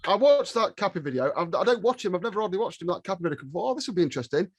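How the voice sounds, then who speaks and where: Fast, high-voiced cheeky British man. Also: lively intonation. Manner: sweary, cheeky, jokey. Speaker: podcast guest. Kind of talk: casual joking chat.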